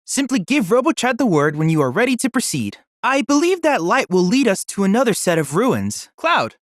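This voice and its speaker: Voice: mid-range voice, very animated, normal speed, no echo, clean studio sound, close to an everyday voice. An actor voicing an earnest, brainy boy-like android assistant in a video game, youthful-sounding and speaking in formal, precise, bookish phrases. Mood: helpful, eager. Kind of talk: video game voice acting, scripted dialogue.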